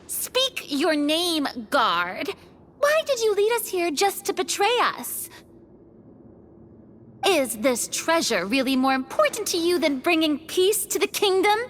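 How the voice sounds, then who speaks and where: A woman's voice: very high-pitched, slow, a little hiss or hum, heavily acted. An actress voicing an eager, naive young girl adventurer in a video game, earnest and full of curiosity. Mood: earnest, questioning. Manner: animated, earnest, inquisitive. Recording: music behind the voice.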